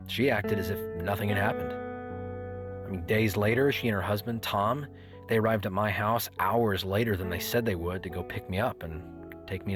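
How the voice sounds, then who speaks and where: A man's voice: lowish voice, close to an everyday voice. A man playing an uneasy grown grandson who narrates an audio drama and talks in a hesitant way. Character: grown grandson, uneasy, relieved, reluctant with his family. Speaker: narrator and lead character. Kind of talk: first-person audio drama narration and dialogue. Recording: music bed under the voice.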